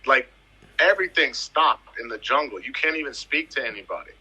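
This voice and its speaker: A man in his thirties from the US Virgin Islands tells his football story in a relaxed interview. His voice is very bright and edged with husk, and he leans on repetition for emphasis. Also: mid-range voice, a little fast, husky, low-quality recording. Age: 30s. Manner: animated, emphatic, repeats phrases for effect. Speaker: footballer guest.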